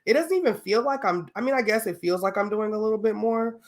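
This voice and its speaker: A woman's voice: medium pitch, fast talker. A young adult woman hosting a solo podcast, talking casually and candidly with lots of fillers and slang, a little sniffly from a cold. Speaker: podcast host.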